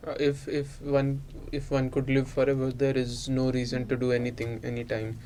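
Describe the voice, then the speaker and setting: A man's voice: mid-range voice, monotone, moderate pace, mellow, a little hiss or hum. A man thinking aloud in an unscripted, reflective group conversation, with a thoughtful, musing manner. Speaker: discussion participant.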